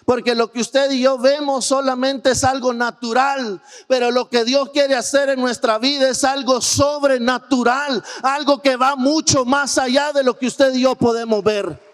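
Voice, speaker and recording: Male preacher, very high voice, earnest missionary sermon with rhetorical questions, long church-hall reverb. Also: steady tone, normal speed, hardly any pauses, fairly bright tone. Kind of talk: sermon with personal missionary testimony.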